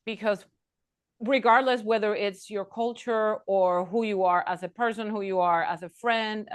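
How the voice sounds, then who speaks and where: A woman's voice: medium pitch, steady tone, moderate pace, clear, resonant tone. A woman hosting a podcast interview, agreeing with her guest and summing up her points. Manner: affirming, sums up the guest's points. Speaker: podcast host. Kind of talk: conversational interview.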